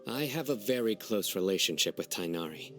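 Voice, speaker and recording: A man playing a composed, serious young officer in a video game, measured, dry and matter-of-fact. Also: on the low side, lively intonation, music in the background, close to an everyday voice. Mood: confident, firm. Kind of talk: video game character acting.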